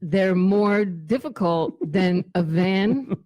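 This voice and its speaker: A woman talking casually as an interview guest, telling anecdotes in an animated, conversational way and acting out bits of dialogue. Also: medium pitch, slow pace, no room sound. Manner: animated storytelling, quotes imagined dialogue. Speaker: radio show guest, former tour manager.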